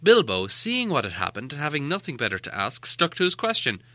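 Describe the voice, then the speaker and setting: Bright, animated male storybook narrator. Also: medium pitch, fairly quick, no room sound. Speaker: audiobook narrator.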